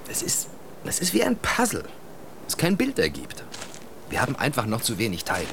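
A man's voice: mid-range voice, wildly swooping pitch, normal speed, fairly bright tone, heavily acted. An actor playing a troubled, restless man in a German radio drama, urgent and hesitant. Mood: uneasy, insistent. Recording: clear ambient noise behind voice.

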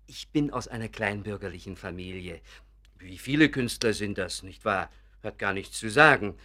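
An actor playing a vain, touchy bookkeeper who fancies himself an artist in a German radio play, self-important, hesitant and insistent. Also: male voice, lowish voice, moderate pace, sharp tone. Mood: aggrieved.

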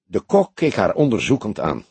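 Husky, expressive male audiobook narrator. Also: fairly low pitch, normal speed, no room sound. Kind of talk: expressive scripted narration of a detective novel.

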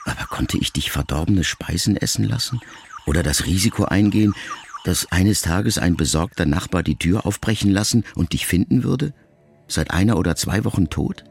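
An actor playing a wounded, reflective ageing son in an intimate radio drama monologue, speaking in a measured, gravelly voice. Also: male voice, low voice, expressive, a little hiss or hum. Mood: reflective, troubled. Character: grieving ageing son addressing his dying mother, wounded, reflective.

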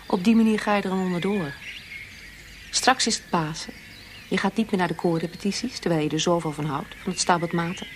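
A woman's voice: fairly low pitch, fairly even intonation, brisk, a little hiss or hum, close to an everyday voice. An actress in a radio play voicing a firm, caring, blunt-spoken woman. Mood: firm, urging. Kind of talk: Dutch radio drama acting.

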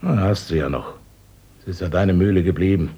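A man's voice: deep voice, fairly quick, dark tone, slightly rough. An older actor playing a resentful miller, a former journeyman who grumbles and hesitates.

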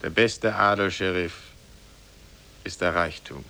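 A man's voice: sits low, measured pace, very noisy. A man playing a lazy, naive young man with theatrical expression in a 1950s radio play. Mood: polite, confident.

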